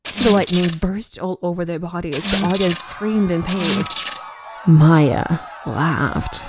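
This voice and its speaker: Female narrator, lower register, scripted drama storytelling, noisy recording. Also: slow pace.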